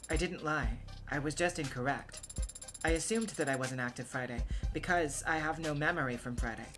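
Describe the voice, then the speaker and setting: Woman doing a weary low-voiced android lady from a game, very precise and formal, faint music behind. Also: normal speed, natural voice. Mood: defensive. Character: weary, earnest female android accused of murder. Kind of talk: video game character voice acting.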